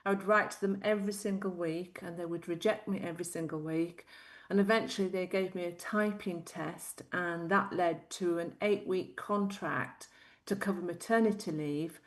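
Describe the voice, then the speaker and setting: A woman's voice: on the low side, steady tone, normal speed. A middle-aged woman with a Yorkshire accent, a guest telling her life story thoughtfully and laughing often. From Yorkshire, England. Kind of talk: interview storytelling.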